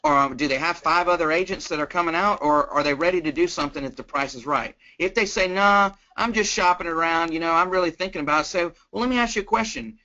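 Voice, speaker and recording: Male guest sales trainer, down-to-earth and folksy, very bright edge, casual delivery, lo-fi call sound. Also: fast, few pauses. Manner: plain-spoken, folksy, acts out sample pitches. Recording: dull, lo-fi conference call line.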